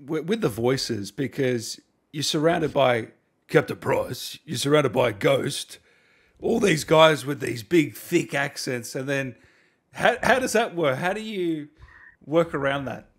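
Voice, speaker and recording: A man's voice: fairly high pitch, animated, unhurried, husky. A man in his thirties hosting a casual interview over a video call on a desk microphone. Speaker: host. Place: indoor room, video call. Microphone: desk microphone on boom arm, headset.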